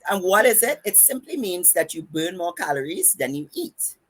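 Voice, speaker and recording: A woman with a Trinidadian accent explains diet goals on a podcast, running her words together as she lays out each point. Her voice sits low and has a husky edge. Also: sing-song, moderate pace, a bit bright. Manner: runs her words together, emphatic and instructive. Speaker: podcast host and fitness coach. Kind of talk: casual podcast advice.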